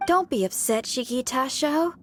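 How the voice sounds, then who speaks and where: A woman's voice: sits high, lively intonation, normal speed, a little hiss or hum. A woman playing a spirited, supportive young companion in a video game, with a casual, encouraging manner. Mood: consoling. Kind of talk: scripted video game dialogue.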